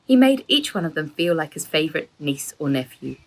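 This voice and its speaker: A woman reading a children's story aloud as its author, with an expressive, animated storytelling delivery and a husky voice. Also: low-pitched, brisk, slight background noise, low-quality recording. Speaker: author reading own book. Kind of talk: expressive children's audiobook narration.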